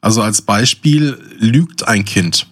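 A man's voice: fairly low pitch, slow pace, a bit bright, slightly rough. A man chatting casually as a podcast co-host, reflecting and thinking aloud in a discursive way.

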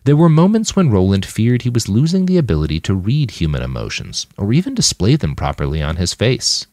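A man reads scripted fiction as narrator. His voice sits low and moves widely in pitch, and the recording is dry with no audible room. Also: wildly swooping pitch, fairly quick, a little mellow, no room sound. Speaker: audiobook narrator.

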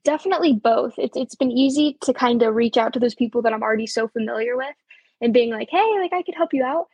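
A woman's voice: a little high, quick pace, a little mellow, husky, no room sound. A seventeen-year-old woman from Colorado, a young auctioneer chatting upbeat in an interview, with frequent ums and small laughs. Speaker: auctioneer guest. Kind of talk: casual interview chat.

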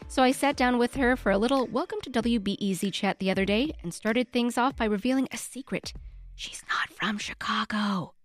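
A woman's voice: fairly high pitch, fairly quick, dry recording. A woman hosting a radio show, presenting and interviewing in a conversational broadcast style. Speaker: radio host and news anchor.

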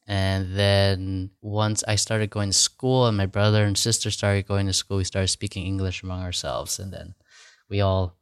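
A man's voice: sits low, level tone, moderate pace, clear, resonant tone. A man hosting a casual interview podcast, laid-back and brief, saying yeah a lot. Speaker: podcast host.

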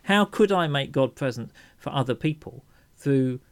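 A man's voice: medium pitch, animated, normal speed, no room sound. A man co-hosting a podcast in casual, reflective discussion, hesitantly thinking aloud. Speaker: podcast co-host.

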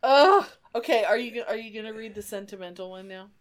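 A woman's voice: a little high, quick pace. A woman co-hosting in casual banter, reacting with exclamations and encouragement. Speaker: podcast co-host.

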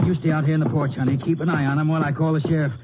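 Radio western rancher, played by a man: rustic American Southern drawl, teasing, higher-pitched, muffled vintage sound. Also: level tone, very fast, over loud music, lightly acted. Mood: urgent. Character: folksy Texas rancher husband, teasing, stubborn. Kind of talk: 1950s radio western acting. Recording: noisy muffled old radio recording.

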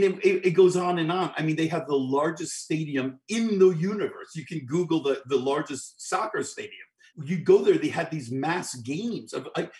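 A middle-aged man from New York spins a long anecdote for an interviewer. His pitch swings widely as he acts out what people said, and it sits a bit higher than most men's. He stumbles and repeats words as he goes. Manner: animated anecdotes with many restarts and repeated words. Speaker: guest, orchestral clarinetist. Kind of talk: storytelling interview.

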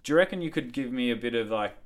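A man hosting a podcast, talking in a relaxed, rambling way with fillers. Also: mid-range voice, racing. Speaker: podcast host.

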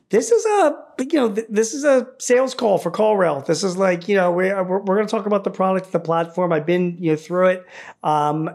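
Conversational male podcast host, halting delivery. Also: high-pitched, darkish tone. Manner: halting, repeats words as he frames questions. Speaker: podcast host interviewing a guest. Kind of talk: conversational business interview.

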